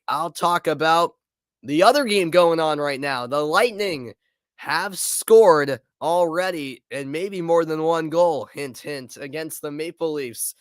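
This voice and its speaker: A congested man with a slightly sore throat talks through a live intermission report, loose and chatty, with plenty of fillers. His voice is smooth and close to the mic, in a dry room with no echo. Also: a little high, fairly bright tone. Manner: casual, chatty, frequent fillers and restarts. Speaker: play-by-play commentator. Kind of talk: live stream intermission commentary.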